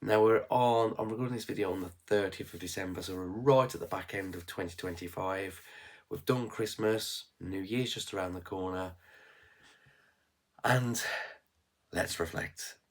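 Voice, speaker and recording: A man's voice: deep voice, moderate pace. A man in his thirties with a West Midlands accent, stuffed up and nasal from a cold, chatting frankly and rambling a bit in a casual vlog. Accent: West Midlands English. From England. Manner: rambling, frank, with fillers and restarts. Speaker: frugal living vlogger.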